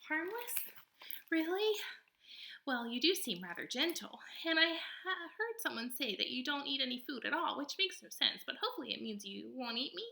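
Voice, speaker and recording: A woman's voice: high voice, very animated, normal speed, creaky, bright, forceful tone, voice pushed up, full character voice. A woman voicing a chatty female possum in a storybook, dramatic, boastful and easily startled. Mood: nervous, curious. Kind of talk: storybook character read-aloud.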